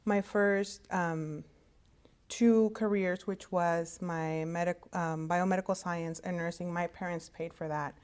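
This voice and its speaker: A woman whose first language is Spanish, giving a casual career talk to students in a conversational, halting way, over a video call. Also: on the low side, steady tone, darkish tone. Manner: conversational, halting with frequent fillers and restarts. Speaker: hospital interpreter services director. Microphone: video call setup.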